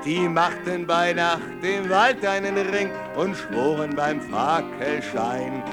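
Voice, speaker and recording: A man's voice: fairly high pitch, slow, over music. A man singing a satirical political folk ballad in a mocking, theatrical way, over musical accompaniment. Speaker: protest folk singer. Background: musical instrument.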